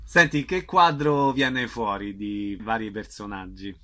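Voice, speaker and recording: A man's voice: lowish voice, fairly even intonation, unhurried, bright, forceful tone. A man hosting a casual interview, putting questions to a guest in an informal way. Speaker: radio interviewer.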